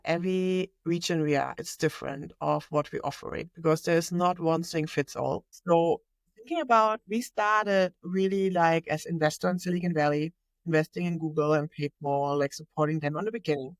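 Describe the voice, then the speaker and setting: A woman's voice: lowish voice, moderate pace, dry recording. A woman with a German accent, speaking English as a second language, answers as a podcast guest. She talks eagerly and fluently, with small grammar slips along the way. Her voice sits close to the mic in a dry room. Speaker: podcast guest, innovation manager. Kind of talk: conversational interview.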